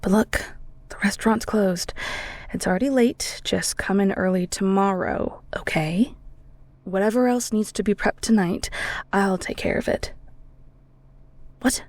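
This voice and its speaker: A woman's voice: mid-range voice, measured pace, long pauses, darkish tone, dry recording, natural voice. A woman playing a blunt, bossy restaurant chef in an audio roleplay, speaking straight to the listener. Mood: softened, firm. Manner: stern, exasperated, blunt. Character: bossy Filipino-American restaurant chef, secretly a ghoul.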